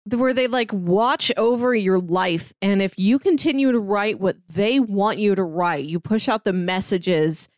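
A woman's voice: mid-range voice, a little slow, hardly any pauses, darkish tone, dry recording, clean studio sound. A woman co-hosting a podcast, casually retelling and commenting on a story in everyday conversational speech. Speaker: podcast co-host.

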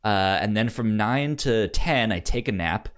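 Slow, low-voiced man with a stutter. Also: lively intonation, smooth. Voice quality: stutter, repeating sounds and words. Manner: halting, hesitant delivery. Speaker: podcast co-host. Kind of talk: casual film review chat.

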